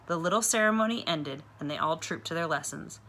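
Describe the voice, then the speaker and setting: A woman reading a children's novel aloud with clear, expressive storytelling. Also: low-pitched, fairly quick.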